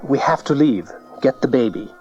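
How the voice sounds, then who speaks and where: A man's voice: medium pitch, lively intonation, moderate pace, mellow, lightly acted. A man playing an earnest, protective husband and father in a dramatized audio Bible, plain-spoken and urgent. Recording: dull cassette, music behind.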